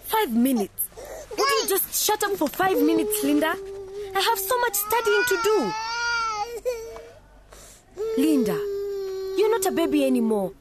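A woman's voice: extremely high pitch, sing-song, a little slow, long pauses, heavy background noise, full character voice. An actress playing an exhausted young student mother, tearful and frantic, pleading and snapping. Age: young adult. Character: stressed young student mother at breaking point. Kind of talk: radio drama acting.

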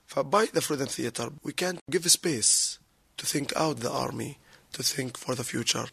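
Halting husky young man. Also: mid-range voice, bright, forceful tone, no room sound. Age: 20s. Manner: halting, searching for words. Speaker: young actor.

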